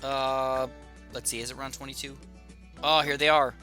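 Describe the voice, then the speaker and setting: A young man with a slightly raspy, sore throat, chatting excitedly while thinking aloud through commentary on his own gameplay. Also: medium pitch, moderate pace, soft background music. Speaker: gaming YouTuber.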